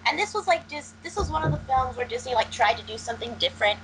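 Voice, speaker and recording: A young woman co-hosting a casual film discussion over a video call from home, quick, wry and teasing as she argues her opinions. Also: a little high, lively intonation, fairly bright tone, a little hiss or hum, low-quality recording. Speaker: podcast co-host. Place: home room, indoors.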